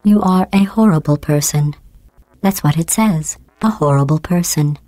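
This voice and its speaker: A woman voicing a cold, sarcastic female AI supercomputer in a video game, with a robotic vocal filter and a deadpan, passive-aggressive delivery. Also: sits low, normal speed, no room sound. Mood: deadpan mocking. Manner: deadpan, passive-aggressive insults in a calm clipped cadence. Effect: robotic vocal processing.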